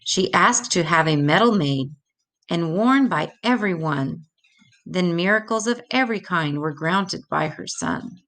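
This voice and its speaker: An older woman reading a rhymed children's religious story aloud in a measured, deliberate way. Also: lowish voice, lively intonation, fairly bright tone.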